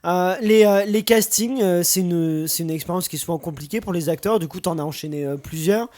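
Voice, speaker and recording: Informal man hosting, halting delivery. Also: sits high, a little mellow. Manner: informal, halting, reformulates questions. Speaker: interview host. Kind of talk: casual interview hosting.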